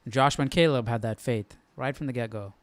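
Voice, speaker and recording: A man's voice: medium pitch, fairly quick. A man hosting a livestreamed study, commenting casually as he goes. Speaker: host of a Bible study livestream. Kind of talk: casual live commentary on a reading.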